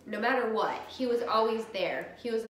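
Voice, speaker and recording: Woman, 30s, stuffy from a cold, candid home vlogger talking a bit loud; echoey dining room, faint hum. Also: fairly high pitch, brisk. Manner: talks a little loud, candid and open. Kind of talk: personal vlog talk. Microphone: camera across the room.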